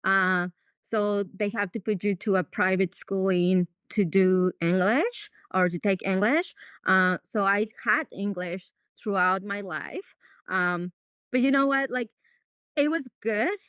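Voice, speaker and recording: A woman's voice: medium pitch, takes it slowly, fairly bright tone, smooth, no room sound, clean studio sound. A woman from Mexico with a Mexican accent and Spanish as her first language, answering interview questions conversationally as a veterinarian. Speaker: veterinarian, podcast guest.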